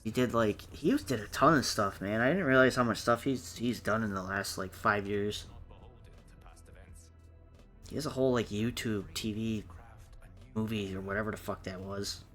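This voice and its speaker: A man with a lowish but bright, forward voice chats casually as a livestream co-host. His talk is loose and slangy, the kind heard among friends. Music plays under him. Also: moderate pace, long pauses. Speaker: round table co-host. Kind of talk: casual group chat on a livestream.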